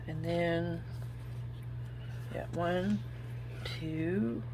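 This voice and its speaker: A woman with an Oklahoma accent hosts a relaxed crafting tutorial, talking through each step and thinking aloud as she works. Her voice sits low with a gravelly edge, over a faint noise in the background. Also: extremely slow. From Oklahoma, United States. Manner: chatty, thinks aloud while working. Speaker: craft video host.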